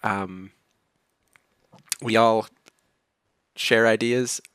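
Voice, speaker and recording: A man's voice: lowish voice, extremely slow, clear, resonant tone, no room sound, studio quality. A man chatting casually on a livestream Q&A, explaining his team's work at length over a video call. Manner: relaxed, explains at length, chuckles between points. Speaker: game developer answering questions.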